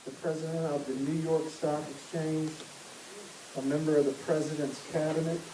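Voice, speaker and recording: A man reading a text aloud in an even, steady way for another speaker. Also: fairly high pitch, moderate pace, reverberant, background noise. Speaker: reader for the speaker.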